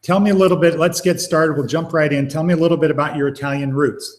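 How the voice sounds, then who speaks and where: A man's voice: on the high side, steady tone, quick pace. A man hosting a casual interview, asking friendly questions with short prompts. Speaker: interview host.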